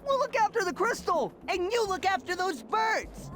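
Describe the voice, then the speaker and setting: A woman voicing a mischievous, excitable little cartoon animal in an animated game. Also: very high-pitched, moderate pace. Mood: frantic, excited. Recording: music behind the voice.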